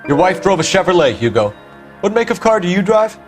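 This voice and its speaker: A man's voice: sits high, very animated, brisk, faint music behind. A man playing an earnest, driven 1940s police detective in a crime video game, speaking in a clipped, insistent, formal way. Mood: accusing. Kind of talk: dramatic period crime game acting.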